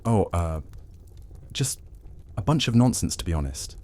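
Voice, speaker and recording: Man voicing a low mellow old vampire adviser, smooth talker, dodgy. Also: sing-song. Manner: measured and persuasive, dodging questions. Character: old male vampire advisor, evasive and scheming. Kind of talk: audio drama acting.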